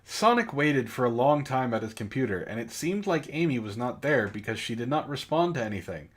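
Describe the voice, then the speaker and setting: A man with a mid-pitched voice reads amateur fiction aloud as a co-host. His style is casual and comedic. He keeps a mock-serious delivery and slips in joking asides. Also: big pitch swings, fairly quick. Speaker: podcast co-host and story reader.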